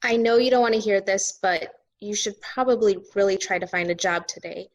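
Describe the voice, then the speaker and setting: A woman's voice: medium pitch, a little fast, lightly acted. Woman as a cool, curt working wife. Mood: guarded, dismissive. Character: cool, busy corporate lawyer wife, guarded. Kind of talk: naturalistic table read of a TV drama.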